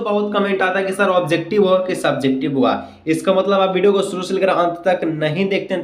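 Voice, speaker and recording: High-pitched man teaching, echoey room. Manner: repetitive, frequent check phrases and rhetorical questions. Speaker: teacher, exam-prep video host. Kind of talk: question-and-answer exam lesson.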